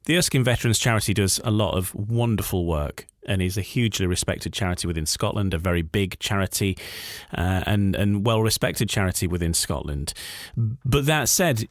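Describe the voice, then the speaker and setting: Male radio interviewer, lowish voice, dry close sound, chatting with a guest. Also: moderate pace, few pauses, dry recording.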